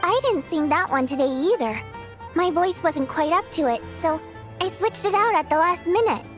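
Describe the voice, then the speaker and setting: A woman voicing a proud, touchy young theater singer in a video game, measured and pointed. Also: extremely high pitch, animated. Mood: composed. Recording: music under the voice.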